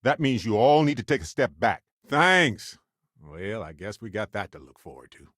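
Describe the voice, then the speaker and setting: A man's voice: on the low side, very animated, normal speed, fairly bright tone, no echo, studio quality. An actor playing a genial, witty male space explorer and scientist in a video game, talkative and wry with a theatrical flair. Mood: teasing, conversational. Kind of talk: video game character voice lines.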